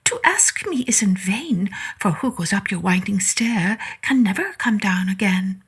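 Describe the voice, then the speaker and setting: A woman's voice: mid-range voice, animated, unhurried, husky, full character voice. A woman voicing a wary little female fly in a storybook reading, prim and polite.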